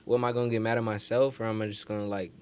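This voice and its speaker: A man with a slightly low voice talks casually as a podcast panelist. He leans on slang and repeats his points for emphasis. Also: flat delivery, racing, a little mellow, smooth.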